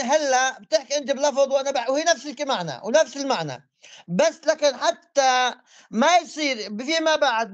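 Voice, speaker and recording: A man's voice: very high voice, lo-fi sound. A man in a religious debate, asking pointed questions and explaining patiently.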